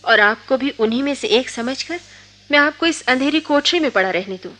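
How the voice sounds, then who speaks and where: A woman's voice: high voice, expressive, brisk, sharp tone, faint music behind. A woman acting a defiant, lovelorn young woman in a radio drama, with an intense, poetic delivery. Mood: anguished, pleading. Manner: poetic, emotionally heightened delivery.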